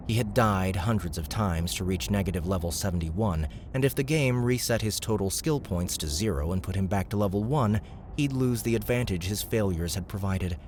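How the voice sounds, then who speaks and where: A male audiobook narrator tells the story in a steady, even way. His voice is very deep, and a faint hiss sits under it.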